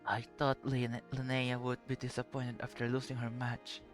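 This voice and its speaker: A man's voice: mid-range voice, monotone, moderate pace. A man giving casual reactions as a live game streamer. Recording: game music behind the voice.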